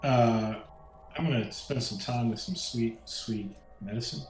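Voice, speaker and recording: A man's voice: medium pitch, measured pace. A man playing a tabletop roleplaying game, chatting casually and jokingly, recorded with a roomy echo. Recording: roomy echo, faint music.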